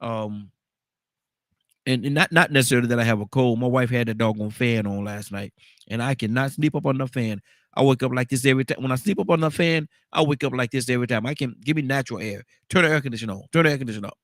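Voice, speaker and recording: A man's voice: mid-range voice, no room sound, clean studio sound. A man hosting a morning call-in radio show with a stuffed-up nose, talking in a rambling way and repeating the phone number over and over.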